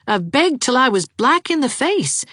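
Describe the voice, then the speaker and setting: A woman's voice: high-pitched, big pitch swings, fairly bright tone, slightly rough, no room sound, clean studio sound, pitched above the performer's own voice, full character voice. A woman voicing a lovesick, gloomy young man who talks in an earnest, fretful rush. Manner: earnest, fretful, fast-talking. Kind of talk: audiobook character voice.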